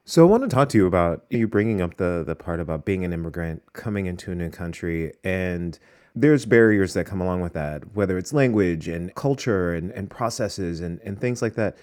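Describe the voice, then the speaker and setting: A man's voice: low voice, animated, fairly quick, a little mellow. A man hosting a podcast interview, asking eager, casual questions with frequent restarts. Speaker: podcast host.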